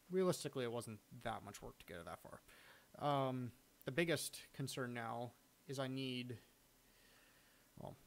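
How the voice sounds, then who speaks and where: A man giving a casual, unscripted screen-recording walkthrough of his own software, halting as he thinks aloud. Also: medium pitch, gravelly. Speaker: software hobbyist demonstrating own add-on. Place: indoors, at a computer recording the screen.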